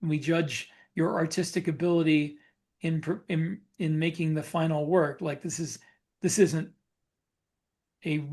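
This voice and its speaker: A man chatting casually as a podcast co-host, thinking aloud in halting phrases that he often repeats. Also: fairly high pitch, fairly even intonation, darkish tone. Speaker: podcast co-host and fiction author.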